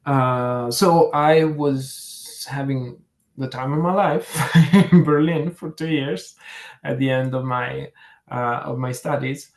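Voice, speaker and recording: A man with an Italian accent speaks in a mid-range voice at a slow pace. He is reflective and conversational, as if answering in an interview. He often pauses mid-sentence to search for the next phrase. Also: mid-range voice, fairly even intonation. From Italy. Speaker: career fair interviewee, program manager.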